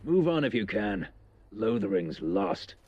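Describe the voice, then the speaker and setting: A man's voice: fairly low pitch, very animated, a little slow, darkish tone, breathy edge, heavily acted. A man voicing a stern, weary armored knight in a fantasy video game, formal and cautioning, in slightly muffled audio. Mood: grave warning.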